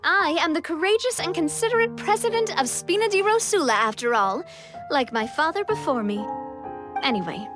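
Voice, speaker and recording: A confident young female leader in a video game, with a high, composed voice. She speaks in a reassuring, self-assured way, with faint music underneath. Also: lively intonation, moderate pace. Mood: proud, cheerful. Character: confident, considerate young woman, proud president of a society.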